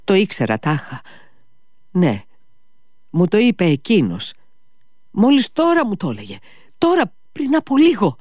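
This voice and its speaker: A man reading a feverish, agitated brother who rambles, his voice straining up into high whispers. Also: pauses often, fairly bright tone, breathy edge, no room sound, studio quality.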